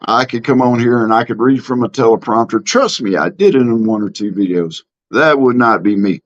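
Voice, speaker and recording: A middle-aged man from Mississippi talks in a Southern accent, easy and plainspoken, as he reads out comments on his live stream. He drawls and fills his pauses with ums and false starts. His voice sits at an ordinary pitch, and the stream audio is dull and lo-fi. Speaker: livestream host. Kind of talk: casual live-stream chat reading comments.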